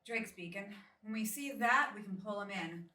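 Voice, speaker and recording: An actress in a live script reading playing a terse, factual member of a science team with clipped delivery. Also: female voice, medium pitch, animated, moderate pace, bright, forceful tone. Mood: tense, factual. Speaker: actor in a live screenplay table read. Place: small live theatre stage. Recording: distant, echoey live theatre recording with noise.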